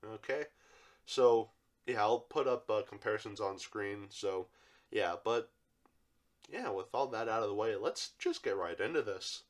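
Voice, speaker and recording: A man's voice: lowish voice, a little slow. A young man with a congested, stuffy voice from allergies, casually reviewing an action figure and rambling with lots of fillers. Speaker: toy reviewer, channel host.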